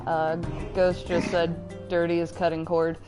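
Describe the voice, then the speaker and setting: A woman's voice: on the low side, monotone, measured pace, poor audio. Casual woman streamer talking over game music. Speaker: host of a gaming livestream. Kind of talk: casual chat while playing a video game. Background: loud game music.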